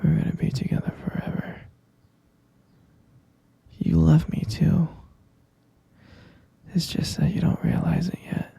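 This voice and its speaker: A man playing an obsessive, possessive kidnapper in an ASMR boyfriend roleplay, cooing slow, breathy, coaxing endearments to a captive lover. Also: fairly high pitch, little pitch movement, warm tone, dry recording, full character voice. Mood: soothing, obsessive. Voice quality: breathy, fry-laden murmur. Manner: slow, coaxing, saccharine pet names. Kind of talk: ASMR boyfriend roleplay, intimate close whispering.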